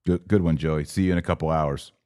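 A man from Texas with a very low voice, recorded close and dry. He talks like a podcast host on a live stream, casual and folksy, pressing his points with repetition as he responds to comments. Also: fairly even intonation, quick pace, dark tone, no room sound.

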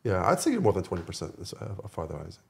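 A man's voice: sits low, wildly swooping pitch, very fast, gravelly. A man who is a podcast guest and rapper, chatting casually and informally in a studio, often repeating his phrases as he goes.

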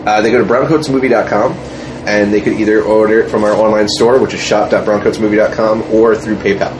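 A man's voice: on the low side, steady tone, fast talker. A man being interviewed as an independent filmmaker, chatting casually in a group. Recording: noisy room with air-conditioning hum.

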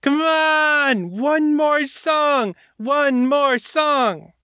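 Guy doing a drunk party girl for an audiobook, whiny n slurry, loud, pitched way up and swooping. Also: sing-song, slow pace, dry recording, clean studio sound, full character voice. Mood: rowdy, demanding. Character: tall, drunk, whiny, exuberant young woman. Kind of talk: audiobook character acting.

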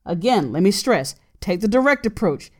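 A woman's voice: medium pitch, sing-song, moderate pace. A woman in her late thirties hosting an advice podcast, talking earnestly and repeating her key points for emphasis. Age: 30s. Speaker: podcast host.

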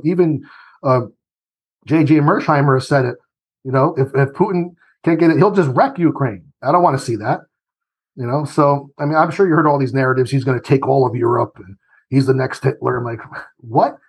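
A man's voice: medium pitch, husky. A man giving his opinions as an interview guest, emphatic and argumentative, often acting out quoted voices. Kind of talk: opinionated political commentary.

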